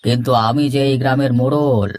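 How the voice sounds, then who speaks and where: Cartoon villain headman, male actor: pompous bully, mid-pitched menace. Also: brisk, a little mellow, dry recording, clean studio sound, close to an everyday voice. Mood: haughty. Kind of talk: cartoon dialogue acting. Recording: faint music behind.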